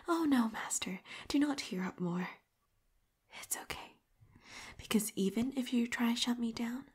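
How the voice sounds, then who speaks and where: A woman voicing an obsessive, clingy female robot companion in an audio roleplay, talking breathy and hushed into a close mic, swinging from pleading to eerily calm control. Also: a little high. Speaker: voice actress.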